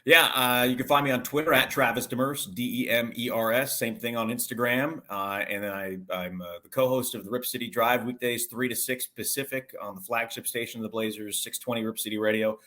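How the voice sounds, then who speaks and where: A man's voice: medium pitch, steady tone, brisk, hardly any pauses, bright, forceful tone. A man working as a sports broadcaster, giving detailed conversational analysis as an interview guest in stop-and-start phrases.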